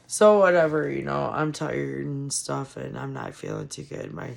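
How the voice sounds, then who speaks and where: A woman giving casual, frustrated political commentary on her vlog, hoarse from losing her voice. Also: a bass voice, moderate pace. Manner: frustrated, ranting. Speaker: commentary vlogger.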